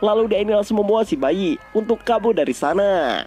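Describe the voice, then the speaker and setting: A man's voice: sits high, expressive, a little fast, over music. A man with an Indonesian accent narrating a story recap in an informal, scripted style.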